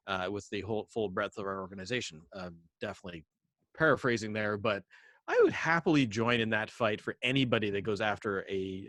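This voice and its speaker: A low-pitched man talks through the news as a podcast co-host. His phrasing is emphatic and casual, and the sound is dry and close, with very little room. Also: a bit bright, no room sound.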